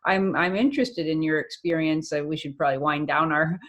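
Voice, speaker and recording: Lower-voiced woman co-host. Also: fairly even intonation, a little fast. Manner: encouraging, frequent affirming murmurs. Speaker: co-host interviewer. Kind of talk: casual interview chat.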